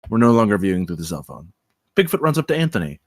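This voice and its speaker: A man's voice: on the low side, very animated, fast, dry recording, studio quality. A man reading out stage directions as the narrator of a screenplay table read.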